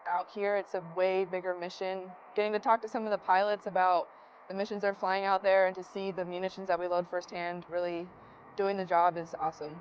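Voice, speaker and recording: A woman's voice: on the low side, level tone, a little fast, dull audio, slight background noise. A young woman, an air force crew member, explaining her job in an interview.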